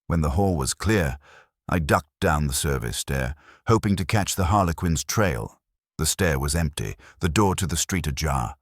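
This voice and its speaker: A man reading a first-person detective story in a deliberate, dramatic, hardboiled storytelling manner. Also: extremely low pitch, animated, dry recording, clean studio sound. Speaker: audiobook narrator.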